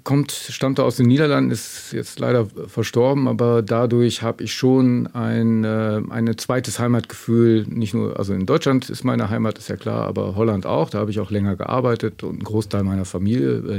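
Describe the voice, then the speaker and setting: A man's voice: medium pitch, fairly even intonation, normal speed, gravelly, hardly any pauses, dark tone. A man from Germany's Münsterland, chatting and reminiscing as an interview guest in a casual, self-deprecating way. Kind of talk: casual storytelling.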